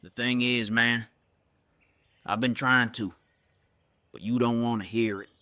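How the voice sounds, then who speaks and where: Man playing gruff male survivor, audio drama, tense bright edge, resentful, folksy clipped phrasing, close dry recording. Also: mid-range voice, fairly even intonation, unhurried, no room sound. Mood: resigned, frustrated.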